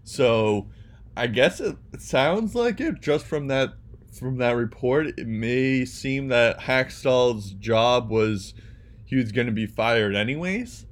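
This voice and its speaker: A man's voice: mid-range voice, animated, slow. A young man co-hosting a casual sports podcast, talking hesitantly with many ums and restarts. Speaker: podcast co-host.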